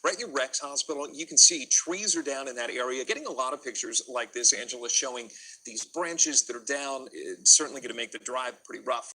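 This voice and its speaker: An American man co-anchors live breaking news. His voice is very bright and tense, roughened by huskiness. He talks through incoming reports as they appear. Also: medium pitch, a little fast, poor audio.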